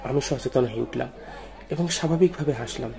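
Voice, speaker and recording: A man narrating a first-person ghost story in a brooding, reflective, measured way. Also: medium pitch, creaky, darkish tone, some chatter behind.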